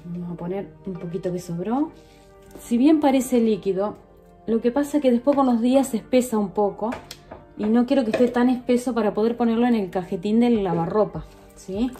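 A woman's voice: on the high side, fairly quick, warm tone. A woman, casually showing viewers how to make liquid soap in her home kitchen while she works. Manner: chatty, addresses viewers directly, working while talking. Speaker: vlogger. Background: background music.

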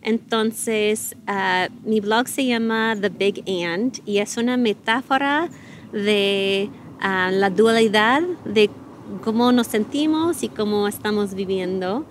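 Middle-aged American woman guest, English native, pausing often as she searches for words, slight room noise. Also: medium pitch. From United States. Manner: hesitant, many fillers and restarts. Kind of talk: conversational interview in learner Spanish.

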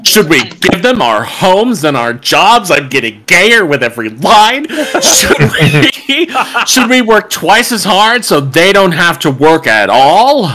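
A man with a bright, slightly high voice and very lively intonation performs script lines over a video call. He is irreverent and breaks off for joking asides. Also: slow, few pauses. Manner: irreverent, jokey, sweary. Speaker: table-read performer. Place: home room on a group video call.

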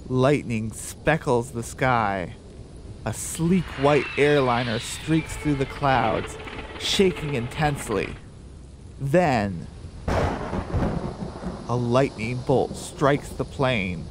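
A man narrating a scripted radio drama in a deliberate storytelling delivery. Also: mid-range voice, noisy background.

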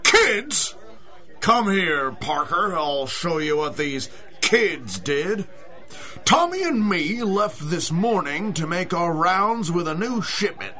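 An actor playing an indignant working-class food hauler who blusters and complains in a radio drama. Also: male voice, high-pitched, big pitch swings, slow, bright, forceful tone, heavily acted. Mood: exasperated. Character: indignant working-class male produce business owner. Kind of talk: 1930s-style radio drama acting.

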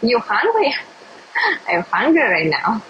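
A young woman from Russia with a Russian accent, hosting a casual livestream while eating, speaking simple English slowly with many pauses and hums. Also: a little high, huge pitch swings, fairly bright tone, lo-fi sound, slight background noise. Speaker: livestream host. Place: indoors at a table by a window.

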